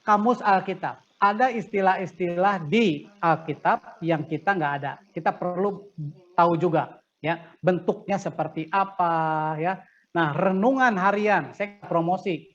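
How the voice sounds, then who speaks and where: A man with an Indonesian accent lecturing as a preacher in a conversational, animated teaching manner, often asking rhetorical questions. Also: high-pitched, a little slow. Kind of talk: interactive sermon-preparation lecture. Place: online class over video call. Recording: dull lo-fi online call audio.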